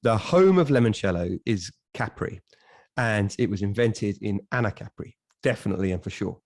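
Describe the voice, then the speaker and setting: A man's voice: lowish voice, expressive, moderate pace, darkish tone, no room sound, studio quality. A man chatting as a podcast co-host, with erudite wording that is by turns hesitant and emphatic. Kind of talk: casual podcast chat.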